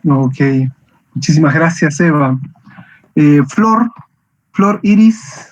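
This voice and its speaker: A man's voice: fairly high pitch, expressive, takes it slowly, a little mellow. A man teaching an online class, calling on students by name and thanking each one briefly. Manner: brief, polite acknowledgements. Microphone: video call. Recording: muffled video call audio.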